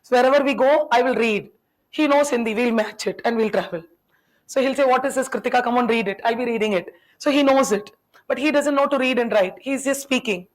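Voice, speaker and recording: A woman in a classroom who grew up speaking Tamil, giving a lecture to her students. Her voice sits a little high, and she keeps asking questions. She talks in a chatty, teasing way. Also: a little fast, rough recording. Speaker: teacher.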